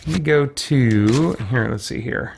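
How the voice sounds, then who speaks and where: A man's voice: medium pitch, unhurried, gravelly, darkish tone, slight background noise. A man giving casual video game commentary, musing aloud to himself as he plays. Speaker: video game streamer, host. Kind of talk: casual let's play commentary. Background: game music.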